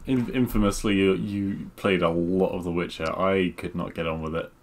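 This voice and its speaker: A man with a lowish voice talks as a podcast co-host. His delivery is conversational and unscripted, and he hesitates as he pieces his thoughts together. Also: steady tone, moderate pace, slight background noise. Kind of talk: casual chat.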